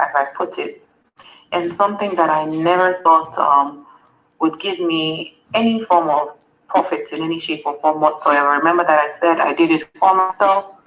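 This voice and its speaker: A woman's voice: low voice, a bit bright. Nigerian lady coach, earnest, repeats stuff for emphasis, kinda dull audio w faint hiss. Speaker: wellness coach and summit speaker. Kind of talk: reading and answering interview questions.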